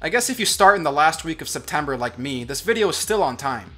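A young man in college giving sarcastic, deadpan commentary as a voice-over. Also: on the high side, quick pace, faint music behind. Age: young adult. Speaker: commentary vlogger. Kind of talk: sardonic scripted commentary over animation.

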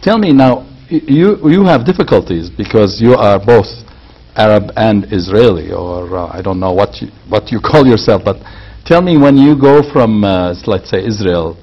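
An older man interviewing a guest in a TV studio, asking measured questions and restating the guest's points back to him. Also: fairly low pitch, expressive, slightly rough. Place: small TV studio with curtains and armchairs. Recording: dull lo-fi broadcast sound.

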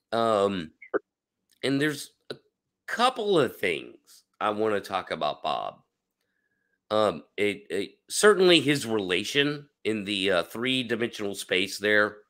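A man hosting an interview over a video call from home, animated and rambling with many fillers, his voice hoarse and cracking. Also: lowish voice, slow pace, long pauses. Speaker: host. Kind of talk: casual interview chat. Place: home room. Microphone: webcam on a video call.

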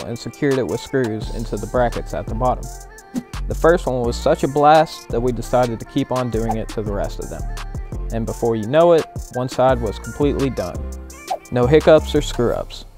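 A man's voice: medium pitch, darkish tone. A man in his thirties giving casual, wry, laid-back vlog narration about his own work. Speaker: builder and video host. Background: music.

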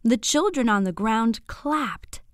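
A woman narrating a scripted bedtime story for young children in an expressive, deliberate, clearly phrased way. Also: fairly high pitch, dry recording, studio quality.

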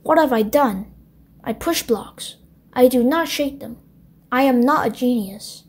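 Storybook square block character, woman performing, male, small and earnest, anxious and unsure, high voice with slightly husky edges. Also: measured pace, heavily acted. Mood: despairing, anxious. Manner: hesitant, fretful, exclaiming. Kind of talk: children's storybook read-aloud.

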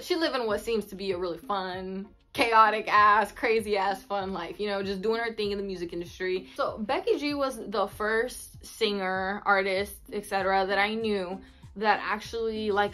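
A woman in her twenties, a native Spanish speaker, chatting casually to camera in an expressive, slangy way with Spanish words mixed in. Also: mid-range voice, moderate pace. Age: 20s. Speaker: vlogger. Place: small bedroom indoors. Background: instrumental music.

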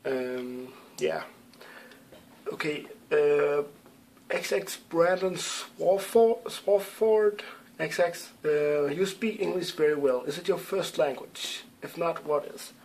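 A man's voice: on the high side, slow pace, creaky, a little mellow, a little hiss or hum. A young man from Denmark with a Danish accent, English not his first language, answering viewer questions in a casual webcam vlog from a room at home, hesitant with many ums and restarts. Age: young adult. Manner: hesitant, lots of ums and self-corrections. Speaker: YouTube gamer. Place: small room at home, indoors.

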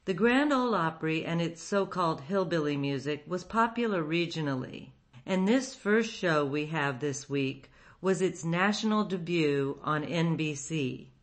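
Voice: medium pitch, lively intonation, measured pace. Radio host reading a scripted introduction. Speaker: host of an old-time radio program.

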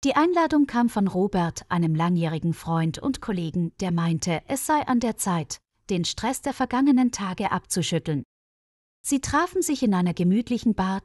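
Expressive woman narrator, very dry sound. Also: fairly low pitch, normal speed, dry recording. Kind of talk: scripted story narration for German learners.

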